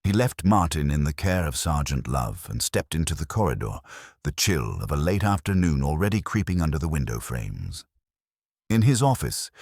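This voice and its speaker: Very deep-voiced male story narrator. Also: slightly rough, no room sound, clean studio sound. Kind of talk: scripted detective story narration.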